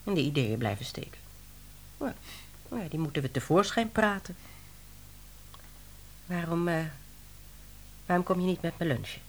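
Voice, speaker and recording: An actress playing a wry, harried middle-aged woman editor in a Dutch radio play, expressive and exclamatory. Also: on the low side, normal speed, pauses often. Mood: reassuring.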